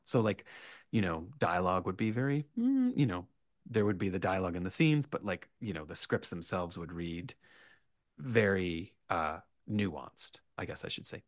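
A man speaking as a writer guest in a casual interview, rambling and animated, often hesitating and correcting himself. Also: on the low side, normal speed, no echo, studio quality. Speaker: podcast guest, horror writer.

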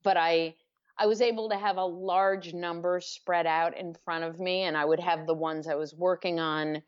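Woman author talking slow and careful, lowish voice, kinda muffled lo-fi sound. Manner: thoughtful, halting, self-correcting. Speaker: author guest. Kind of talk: podcast interview.

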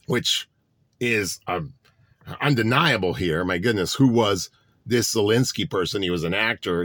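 A man's voice: mid-range voice, a bit bright, no room sound. A man delivering a political monologue to his audience, sarcastic and full of rhetorical questions. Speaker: political commentator.

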